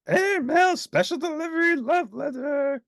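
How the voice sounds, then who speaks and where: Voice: sits high, unhurried, no room sound, clean studio sound, voice pushed up. A performer voicing an earnest, dramatic furry monster messenger in a children's storybook, with a theatrical, plaintive and eager way of talking. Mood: gallant.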